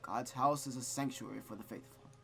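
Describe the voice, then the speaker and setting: A man voicing a kindly priest in a visual novel, speaking in a calm, reassuring, measured way. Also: mid-range voice, vocal fry, a little hiss or hum, lightly acted. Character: gentle, kindly male village priest.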